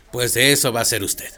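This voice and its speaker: An actor playing a stern but lenient judge in a radio drama, speaking in a measured, formal and wryly amused way. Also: male voice, medium pitch, sharp tone.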